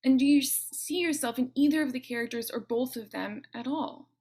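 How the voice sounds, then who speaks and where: A woman hosts a book club conversation and reads audience questions aloud to the author. Her tone is friendly and easygoing. Her voice sits a little high. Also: fairly quick, darkish tone. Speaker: interviewer, editor and moderator. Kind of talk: moderating a live book club Q&A.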